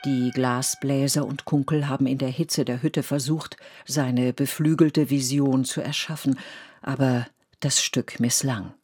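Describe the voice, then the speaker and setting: Radio play narrator, middle pitch, measured poetic delivery, scripted literary narration, faint music behind. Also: steady tone, a little mellow, slightly rough.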